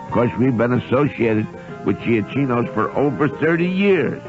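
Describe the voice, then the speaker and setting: A male radio host delivers a live sponsor plug at an ordinary middle pitch. The sound is dull and lo-fi, with music playing clearly behind him. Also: lively intonation, moderate pace. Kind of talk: live sponsor read and chat with a caller.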